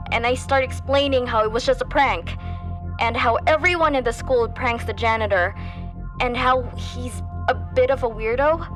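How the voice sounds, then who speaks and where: An actress playing a shaken teenage high school girl, giving candid first-person testimony in a casual, youthful way. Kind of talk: dramatic first-person testimony in audio drama.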